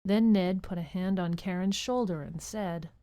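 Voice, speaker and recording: A woman narrating a scripted children's story in a measured way with dramatic pauses. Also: fairly low pitch, dark tone, no echo.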